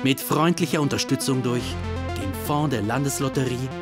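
A man calmly reading the end credits of a podcast drama. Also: medium pitch, normal speed. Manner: calm, announcing. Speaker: credits announcer. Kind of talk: read credits. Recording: loud music underneath.

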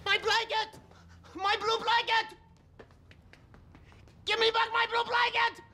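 An actor playing a timid, nervous, stammering accountant who is easily driven to hysterics, in broad comic style. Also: male voice, on the high side, very animated, fast, a bit bright. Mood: hysterical panic. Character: timid, hysterical accountant clinging to his baby blanket.

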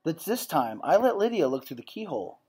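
A man's voice: on the high side, sing-song, a little fast, darkish tone, slightly rough. A man in his thirties reading a storybook aloud like a teacher, telling it expressively and acting out the dialogue. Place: indoor classroom. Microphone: webcam or laptop at desk distance.

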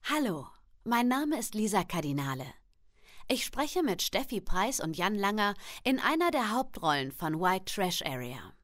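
A woman's voice: medium pitch, very animated, unhurried, fairly bright tone, dry recording, studio quality. A woman who acts in audio dramas, speaking a thank-you message. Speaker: voice actor.

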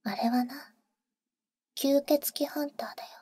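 A woman playing a teasing, protective vampire big sister in an ASMR roleplay, speaking in an intimate, soft whisper close to the mic with cool, boyish phrasing. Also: high voice, fairly even intonation, measured pace, dry recording. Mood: serious, explanatory. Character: teasing, protective female vampire, older-sister type to a boy. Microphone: close microphone, dry.

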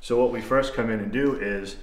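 A man's voice: mid-range voice, steady tone, a little fast, far from the mic. A man in his thirties explaining masonry restoration work step by step while touring an old stone barn with a lapel mic. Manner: plain, methodical technical explanation. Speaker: masonry contractor. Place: large old barn and outdoor ruins. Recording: echoey barn room, faint noise.

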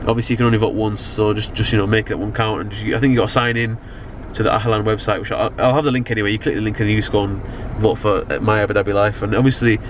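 A man's voice: lowish voice, level tone, very fast, hardly any pauses. A young British man chatting casually to camera in a car, rambling and slangy, often checking the listener follows. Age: young adult. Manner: rambling, slangy, frequent "you know what I mean". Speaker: vlogger. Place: inside a car at night. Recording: car ambience, clear background noise. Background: car and outdoor urban noise.

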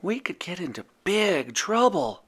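A timid little Yeti from a bedtime storybook, his voice raised and small, as a storyteller puts him on. He sounds young and a little worried, his words bending widely up and down. Everything comes slowly and softly. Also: high voice, huge pitch swings, voice pushed up, full character voice. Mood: worried whisper. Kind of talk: storybook reading.

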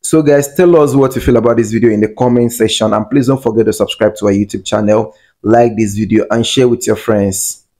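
A man's voice: fairly low pitch, steady tone, fairly quick, darkish tone, faint music behind. A young man hosting a video channel, speaking earnestly into a handheld mic and repeating his points for emphasis. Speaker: channel host. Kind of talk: casual vlog commentary to camera. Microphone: handheld mic close to face.

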